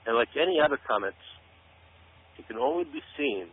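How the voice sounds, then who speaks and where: A man's voice: mid-range voice, lively intonation, brisk, breathy edge. A man from Israel giving emphatic, explanatory talk-radio commentary as a guest, often repeating phrases for stress. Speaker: author and researcher, radio show guest. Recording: tinny phone-like radio audio.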